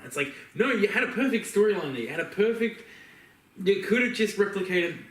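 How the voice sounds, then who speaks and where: A man's voice: on the high side, fairly bright tone. An Australian man co-hosting a podcast, chatting casually with emphatic opinions. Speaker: podcast co-host. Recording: echoey room, distant from mic.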